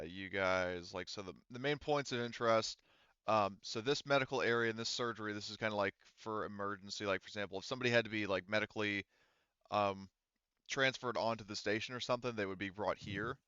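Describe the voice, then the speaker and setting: A man's voice: on the low side, brisk, pushed and bright, clean tone, no room sound, muffled recording. A man running a tabletop game as game master, narrating casually and often groping for words.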